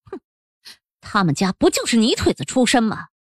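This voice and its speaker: A woman's voice: a little high, very animated, moderate pace, dry recording, studio quality. A woman playing a haughty, indignant official's wife and grandmother in an audiobook drama, scolding and huffing. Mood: scornful.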